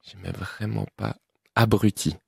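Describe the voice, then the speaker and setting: A man in his twenties from Belgium, a musician guest chatting casually in an interview, relaxed with frequent laughs and fillers. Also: lowish voice, unhurried, gravelly, breathy edge, no echo, studio quality. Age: 20s.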